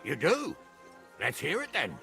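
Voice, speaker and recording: Gruff, wildly animated medieval nobleman. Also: on the high side, measured pace, soft background music, full character voice. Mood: blunt, astonished. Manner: gruff, swearing, exclaiming. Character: blunt, boisterous middle-aged nobleman in medieval Bohemia. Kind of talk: dramatic video game voice acting.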